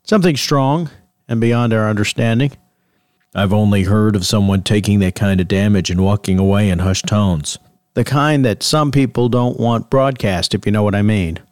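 An actor playing an earnest host of a late-night paranormal call-in radio show, measured and conspiratorial in manner. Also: male voice, on the low side, darkish tone, dry recording, close to an everyday voice. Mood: hushed, conspiratorial. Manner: measured, conspiratorial, theatrical sign-off.

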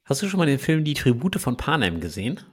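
A man's voice: mid-range voice, big pitch swings, quick pace, husky. Bantering man, casual podcast co-host. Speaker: podcast co-host, engineering manager.

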